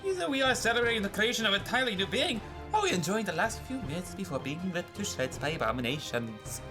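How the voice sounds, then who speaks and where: An actor playing an eccentric, wry scientist with a theatrical, halting delivery in an old-time radio adventure serial. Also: mid-range voice, big pitch swings, music in the background, natural voice. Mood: celebratory. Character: eccentric scientist doctor, wry, gallant, champagne-loving.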